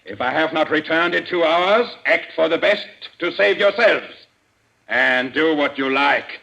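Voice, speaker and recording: An actor playing a grave, authoritative secret society leader in a radio melodrama, speaking with deliberate drama. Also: male voice, a little high, animated, vocal fry, pushed and bright, exaggerated voice. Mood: grave, commanding. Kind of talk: old radio melodrama acting. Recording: dull, lo-fi old radio recording.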